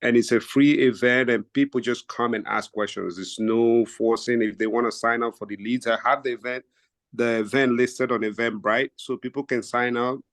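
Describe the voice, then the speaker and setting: A man from Nigeria, a real estate agent calmly walking his team through his methods on a video call. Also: medium pitch, moderate pace, no room sound. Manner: steady, methodical, stacks up examples with fillers. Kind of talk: casual explanation in a team video meeting.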